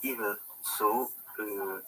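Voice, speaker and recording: Guy, low-ish voice, slow and halting, repeats himself, bit of background hiss. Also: steady tone, bright, forceful tone, rough recording. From Serbia (Vojvodina). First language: Hungarian. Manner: halting, repeats words while searching for English. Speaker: guest caller in a livestream chat. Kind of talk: casual chat.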